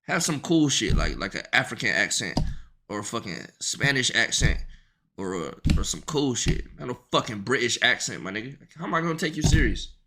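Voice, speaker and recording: A man streaming a reaction to a video, talking loudly and profanely in slangy banter with his chat. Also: mid-range voice, sing-song, fairly quick, vocal fry, noisy background. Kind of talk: casual live-stream reaction.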